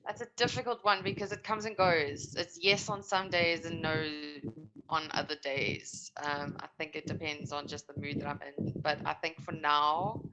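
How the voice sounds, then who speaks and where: A woman's voice: sits low, steady tone, normal speed, sharp tone, low-quality recording. A woman in her late twenties, a panel guest speaking openly and expressively in a casual discussion. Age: 20s.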